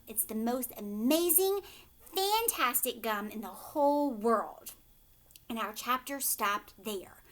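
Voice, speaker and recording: Animated, high-voiced woman reading a storybook. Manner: emphatic, dramatic phrasing. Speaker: teacher reading aloud. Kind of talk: expressive storybook read-aloud for children.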